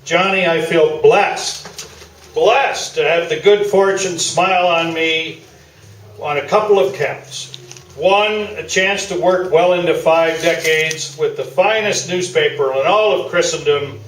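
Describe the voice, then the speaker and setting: Male actor as self-important old-school sports columnist, comic radio play, raised pitch, distant roomy recording. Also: unhurried, a bit bright, slight background noise. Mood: grandiose. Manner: pompous, boastful. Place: small theatre, live performance.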